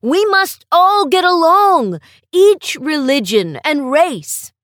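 A woman's voice: on the high side, big pitch swings, slow pace, a bit bright, dry recording, studio quality, full character voice. A woman voicing a stern but caring male school principal who speaks firmly and reassuringly. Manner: firm, reassuring.

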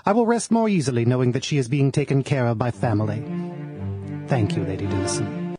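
A man's voice: medium pitch, lively intonation, fairly quick, over music, natural voice. An actor playing a courtly, fatherly Victorian village parson in a radio drama, gallant and formal. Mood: earnest, solicitous. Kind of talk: period radio drama acting.

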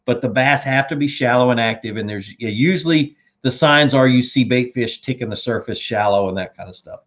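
A man hosts a laid-back fishing chat, answering viewers' questions in an easygoing, conversational way. His voice is mid-pitched and getting hoarse. The audio is a little dull and lo-fi. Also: fairly quick. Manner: laid-back, says dude and man, reads viewer comments aloud. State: losing his voice. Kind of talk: casual live chat.

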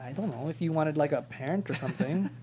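A woman's voice: low-pitched, fast, mellow, a little hiss or hum, close to an everyday voice. A woman reading a blunt, clueless young male gamer in a comedy script table read. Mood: unsure.

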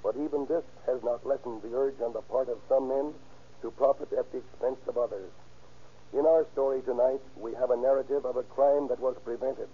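Husky man giving formal radio address. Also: mid-range voice, fairly even intonation, a little fast. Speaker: guest police officer giving introduction. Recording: muffled old radio recording.

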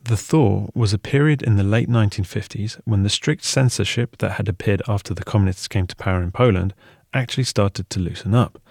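A man's voice: on the low side, a little fast, creaky, a little mellow, breathy edge, no room sound, studio quality. A man reading scripted documentary narration as one of the narrators.

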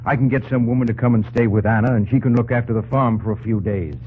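An actor playing a loyal, plainspoken frontier farmer in an old-time radio drama, with folksy rustic phrasing. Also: male voice, medium pitch, steady tone, fast, lightly acted. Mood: thoughtful. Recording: muffled old radio recording, faint noise.

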